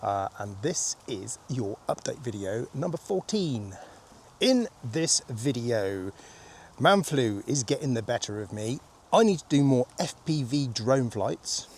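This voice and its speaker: A man in his fifties, throaty and husky from a cold, chatting casually and rambling with easy laughs as he vlogs on a rainy countryside walk. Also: medium pitch, animated, measured pace. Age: 50s. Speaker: vlogger. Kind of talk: casual walking vlog.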